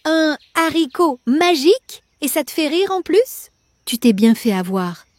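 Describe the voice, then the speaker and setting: A woman plays a farm mother in a children's cartoon tale. Her voice is rather high, with a dry studio sound. She is bossy and expressive, quick to decide and easily exasperated. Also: no echo. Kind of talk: children's cartoon storybook acting.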